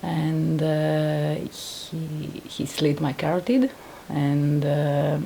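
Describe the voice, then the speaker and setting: Low gravelly Greek-accented woman. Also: fairly even intonation, extremely slow, warm tone, slight background noise. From Greece. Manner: earnest, searching for words with many restarts. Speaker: painter and survivor giving testimony. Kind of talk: personal testimony interview.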